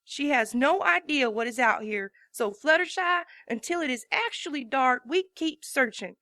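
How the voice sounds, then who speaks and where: A woman's voice: high-pitched, expressive, normal speed, sharp tone, no room sound. A woman voicing a determined female farm pony who talks in a folksy, explaining way. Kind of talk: fan audiobook reading.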